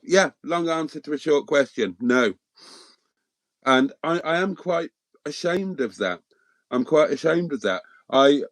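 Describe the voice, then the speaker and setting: A man's voice: medium pitch, no room sound. A middle-aged man from Wales whose first language is Welsh, chatting loosely on a livestream as host, with many ums and restarts. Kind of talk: casual livestream chat answering viewers.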